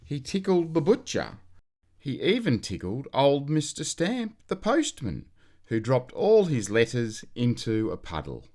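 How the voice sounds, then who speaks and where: Slow, animated male children's storyteller. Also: medium pitch. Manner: expressive, drawn-out emphasis on key words. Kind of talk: scripted read-aloud of a children's storybook.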